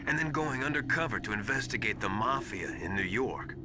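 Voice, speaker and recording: A man's voice: medium pitch, animated, fairly quick, background noise, lightly acted. A man voicing an earnest, suspicious military adviser in a video game, confiding and emphatic. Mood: confiding, grave. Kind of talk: dramatic video game voice acting.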